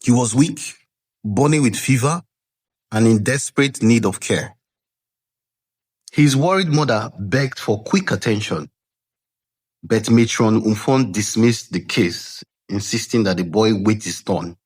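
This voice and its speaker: A man narrating a scripted folktale dramatically, with measured suspense and deliberate pauses, as a storyteller. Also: on the low side, husky. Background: background music.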